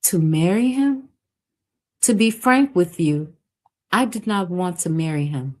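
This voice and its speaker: A woman's voice: lowish voice, lively intonation, measured pace. A woman voicing a shy, earnest young village woman in a storybook reading.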